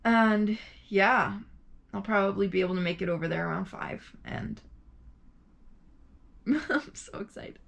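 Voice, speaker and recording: A woman's voice: medium pitch, unhurried, gravelly, pauses often, a bit bright. A woman talking casually and informally in a vlog, loosely rambling as she shares updates as an emerging playwright. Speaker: vlogger and early-career musical theatre playwright.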